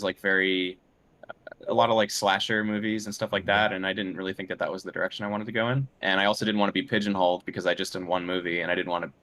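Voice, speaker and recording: A man's voice: fairly low pitch, fairly bright tone. A man speaking casually as an interview guest, hesitant and searching for words as he thinks aloud. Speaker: interview guest, film and game composer.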